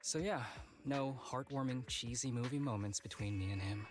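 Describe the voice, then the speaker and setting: Male actor as young officer, video game dialogue, playful, guarded, teasing, medium pitch, faint music behind. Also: moderate pace, natural voice. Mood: guarded, matter-of-fact.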